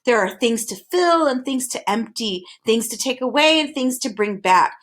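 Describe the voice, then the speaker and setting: A woman voicing a sour, deceitful male demon of petty tasks in a storybook reading, speaking softly and coaxingly. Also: a little high, expressive, normal speed. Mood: menacing coaxing.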